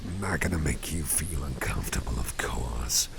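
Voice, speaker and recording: A caring, easygoing boss acted by a man murmurs in an extremely low, gravelly voice. He rambles softly and reassuringly, as in an ASMR roleplay, with clear background noise behind him. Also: animated, normal speed, heavily acted. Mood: gentle, reassuring. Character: caring, easygoing male boss, gently soothing and chatty. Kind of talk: ASMR roleplay, soft personal-attention talk.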